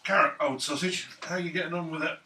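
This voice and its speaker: A man's voice: fairly high pitch, fairly even intonation, quick pace, pushed and bright. A man bantering casually and jokily as a player in a tabletop role-playing game. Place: room around a gaming table. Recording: roomy, distant mic, faint noise.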